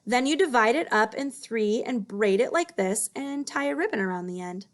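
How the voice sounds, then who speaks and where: A woman reads as a polite, resourceful little boy in a children's storybook. He sounds eager and helpful, a little high in pitch, pleading and explaining. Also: expressive, moderate pace, close to an everyday voice.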